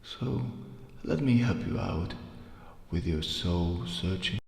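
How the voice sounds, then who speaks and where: A very low, growling undead merchant played by a man in a dark fantasy game. He speaks slowly and wearily, mocking whoever he meets with bitter scorn. The voice rings with echo and long reverb. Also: expressive, creaky, heavily acted. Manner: weary, taunting, drawn-out phrasing. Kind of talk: video game character acting.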